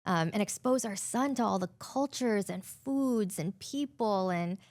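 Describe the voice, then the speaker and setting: A woman's voice: mid-range voice, measured pace, darkish tone. A woman in her forties co-hosting a podcast, chatting casually and telling a personal story full of "like" fillers. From Hawaii and California. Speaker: podcast co-host.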